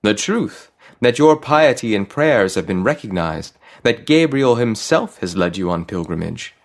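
A man's voice: lowish voice, animated, unhurried. A man voicing a serene, joyful archangel in a story, solemnly proclaiming and reassuring. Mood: calm, encouraging. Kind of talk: audio short story character reading.

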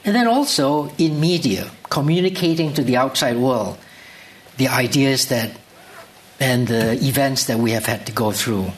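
A man hosting a formal on-stage interview, introducing his guest with long, measured, listing questions. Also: medium pitch, animated. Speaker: event host and interviewer. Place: lecture hall with live audience.

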